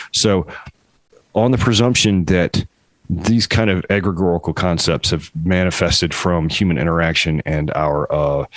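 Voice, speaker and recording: A young male podcast host with a very low voice, recorded dry and close. He lays out long, careful questions step by step before asking them. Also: no echo. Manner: deliberate, setting up long questions. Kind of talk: interview questions.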